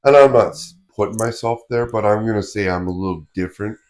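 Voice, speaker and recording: Man, low voice, podcast co-host, casual chat, blunt, short remarks. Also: quick pace, crowd noise.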